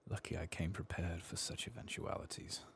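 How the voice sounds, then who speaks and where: Hushed low-voiced man, soft and creaky. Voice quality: soft-spoken. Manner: hushed, slipping into whispers, unhurried. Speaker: ASMR gaming host. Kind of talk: soft-spoken ASMR game playthrough narration.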